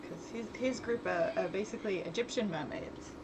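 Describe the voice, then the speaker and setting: A woman's voice: medium pitch, normal speed. A woman hosting a casual livestream, thinking aloud in an informal, rambling way and often interrupting herself as she works. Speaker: livestream host, writer and game developer. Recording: very noisy live stream audio.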